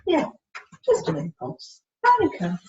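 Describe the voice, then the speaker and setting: A woman's voice: very high-pitched, huge pitch swings, measured pace, creaky, a little mellow. A woman patiently coaching a student one-to-one, spelling out letters and giving short, encouraging instructions. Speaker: communication support worker helping a student spell and write. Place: indoors, on a video call. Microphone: distant computer mic on video call. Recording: dull, roomy, distant video-call audio with noise.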